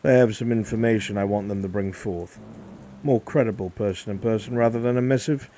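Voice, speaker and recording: A man's voice: fairly low pitch, fairly quick, dark tone, slight background noise, natural voice. A man playing a brusque, wary fantasy officer with a clipped, formal, commanding manner in a live roleplay.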